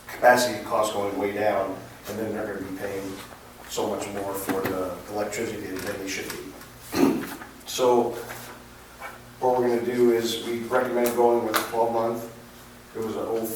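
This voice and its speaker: A man, an energy consultant, presenting at a public council meeting, his voice hoarse and going, with halting ums and uhs. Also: fairly low pitch. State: losing his voice. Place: council chamber. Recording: echoey chamber, far from mic, noisy.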